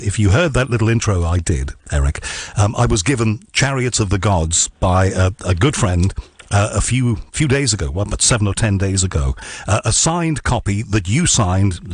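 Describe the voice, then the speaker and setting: A man's voice: fairly low pitch, no room sound. A man hosting a radio interview, chatty and loose, with many ums and uhs. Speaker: radio host.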